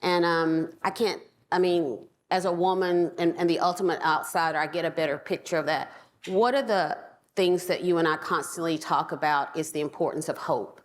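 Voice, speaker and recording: A woman moderating a panel, asking questions in a halting way with restarts. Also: low-pitched, fairly even intonation. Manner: halting, many fillers and restarts. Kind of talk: panel conversation.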